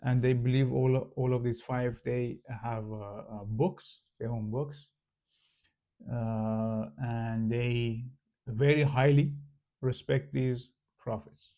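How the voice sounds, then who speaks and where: A man from Iran with a Persian accent, a native Farsi speaker, casually explaining to the camera with many pauses, fillers and restarts. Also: mid-range voice, flat delivery, dark tone, muffled recording. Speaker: channel host.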